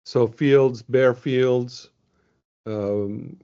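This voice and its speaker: A man from Venezuela presents results in a loose, chatty webinar, full of ums and restarts. His voice sits at an ordinary middle pitch. It comes through a video call with dull, muffled audio. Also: fairly even intonation, drawn-out words, smooth.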